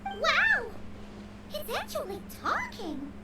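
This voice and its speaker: A woman voicing a tiny, excitable, childlike fairy companion in a video game, with a squeaky voice. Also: takes it slowly, roomy sound, recorded from a distance, noisy background, full character voice. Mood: excited, puzzled.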